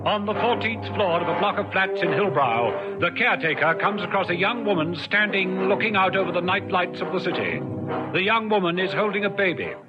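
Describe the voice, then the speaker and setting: A man's voice: sits high, animated, brisk. A man reading scripted narration for an old radio crime drama. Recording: muffled old radio recording, loud music.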